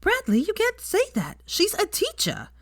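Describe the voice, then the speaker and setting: A shrill, excitable schoolgirl, as a woman narrator acts her out in a storybook reading. The voice sits very high and badgers like a nosy gossip, recorded close and dry. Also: moderate pace, no echo, exaggerated voice. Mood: scandalized. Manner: snappish, badgering with questions.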